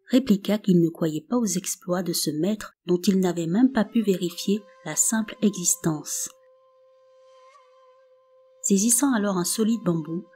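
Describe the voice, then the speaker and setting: A woman narrating a folk tale in a calm, measured storybook reading with soft phrase endings. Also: medium pitch. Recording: narration over faint background music.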